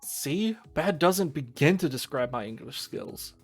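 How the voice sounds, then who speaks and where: A man's voice: a little high, animated, measured pace, faint music behind. A man voicing a puzzled, self-deprecating young woman who works in a coffee shop, in a visual novel.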